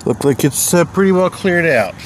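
A man's voice: on the high side, lively intonation, moderate pace, slight background noise. A man in his forties giving casual, wry walking commentary while he films around an empty building. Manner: chatty, wry asides to the viewer. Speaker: vlogger exploring abandoned places. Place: outdoors around an empty building, later in a car. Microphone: handheld phone camera.

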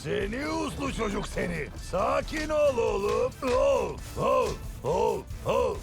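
Very high-pitched excitable cartoon hero. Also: male voice, slow, creaky, music in the background, full character voice. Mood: coaxing, scolding. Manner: exclaiming, hesitant, jokey coaxing. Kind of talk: dubbed cartoon acting.

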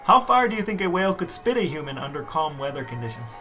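A man's voice: on the high side, sing-song, fast talker, bright, forceful tone, soft background music. A man co-hosting a podcast with his brother, trading dry jokes in casual banter. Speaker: podcast co-host. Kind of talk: casual chat.

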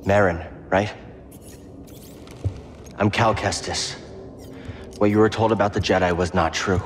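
An actor playing an idealistic young hero in a video game, sincere and understated. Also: male voice, low voice, fairly even intonation, unhurried, faint music behind. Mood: earnest, guarded. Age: young adult. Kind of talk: video game cinematic acting.

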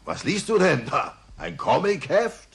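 Dim grumbly cartoon dad, croaky and swoopy, loud plain talker. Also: male voice, on the high side, big pitch swings, measured pace, slight background noise, full character voice. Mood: cheery, curious. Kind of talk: German cartoon dub acting.

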